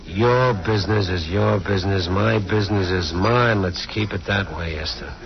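A man's voice: low-pitched, normal speed, natural voice. An actor playing a wary, threatening male crook with terse speech, in a 1940s radio crime drama. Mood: guarded. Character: low-voiced, wary crook man, guarded and threatening. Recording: muffled old-time radio recording, crowd sounds.

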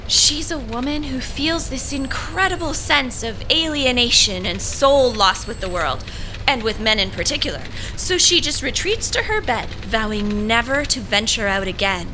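A woman's voice: on the high side, animated, moderate pace, background noise, natural voice. A woman playing an intense, needy, eccentric would-be writer in an audio drama, with an earnest, dramatic, insistent delivery. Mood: earnest, rambling.

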